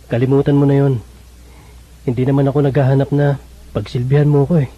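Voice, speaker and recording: A man's voice: medium pitch, flat delivery, normal speed, lightly acted. A man playing a forgiving, contented Filipino husband in a radio drama, heard on a dull radio playback. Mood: forgiving, tender. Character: forgiving, contented husband, surprised by his wife.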